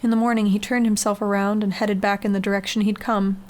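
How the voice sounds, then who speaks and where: A woman's voice: mid-range voice, little pitch movement, fast, a little mellow. A woman reading a scary short story as a scripted audiobook-style narration. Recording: clearly noisy background ambience.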